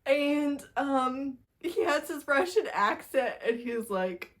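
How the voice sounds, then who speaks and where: A woman's voice: high-pitched, slow pace. Chatty, giggly woman reviewing books. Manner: animated, giggly, informal storytelling. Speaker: book review video host. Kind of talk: casual chatty book wrap-up talk to camera.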